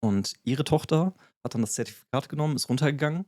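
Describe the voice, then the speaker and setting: A man's voice: medium pitch, fast talker, a little mellow, dry recording, clean studio sound. A man co-hosting a podcast, explaining things in a casual, filler-heavy way. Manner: explanatory, many fillers and restarts. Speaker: podcast co-host.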